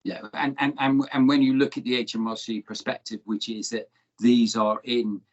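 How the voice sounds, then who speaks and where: A man's voice: medium pitch, flat delivery, moderate pace. Man moderating over a muffled call. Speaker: webinar moderator. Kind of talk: webinar discussion. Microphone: video call. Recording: dull lo-fi call audio.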